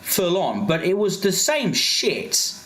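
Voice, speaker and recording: A man's voice: high voice, very animated, slow, fairly bright tone, echoey room. An exasperated man ranting as a livestreamer, swearing constantly. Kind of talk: heated livestream rant.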